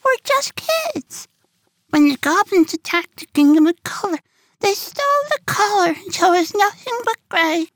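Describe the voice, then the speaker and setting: A man voicing a small, tired girl in a children's story, in a high falsetto that he keeps slow and soft. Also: sing-song, no room sound, heavily acted, rough recording. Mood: gently amused. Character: small tired gray-skinned girl, gentle. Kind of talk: storybook reading.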